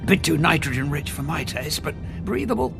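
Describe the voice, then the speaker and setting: An actor playing a brisk, unflappable male expert, analytical and quick, in a sci-fi audio drama. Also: fairly high pitch, lively intonation, vocal fry, music in the background. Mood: breezy.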